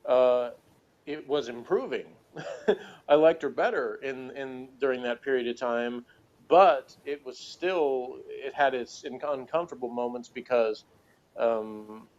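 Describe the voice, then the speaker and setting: A man's voice: mid-range voice, darkish tone, highs cut off. A man in a casual unscripted discussion as a podcast co-host, hesitant and rambling, often circling back over his phrases. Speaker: podcast co-host reviewing a TV show.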